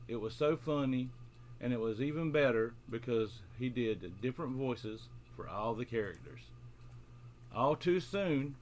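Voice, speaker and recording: Man reading to children, noisy recording. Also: mid-range voice. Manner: addresses young children directly. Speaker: host of a children's bedtime story show, author. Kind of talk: friendly introduction, then read-aloud children's storytelling.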